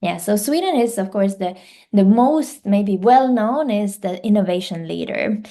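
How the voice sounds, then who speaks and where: Mellow-voiced woman, hesitant. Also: medium pitch, animated, measured pace. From Finland. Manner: fluent second-language speaker, hesitant fillers and restarts. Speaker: guest, life science director. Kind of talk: podcast interview.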